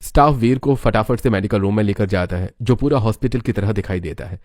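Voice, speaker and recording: A male storyteller with a fairly low voice reads scripted narration. He describes scenes in the manner of a moral tale. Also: a little fast, darkish tone, a little hiss or hum.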